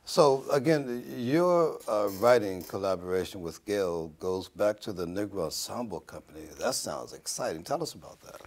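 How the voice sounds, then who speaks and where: An older man hosts a conversational interview. His voice is low and husky. His intonation moves up and down in a lively way as he leads the guest. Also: normal speed, few pauses. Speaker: talk show host.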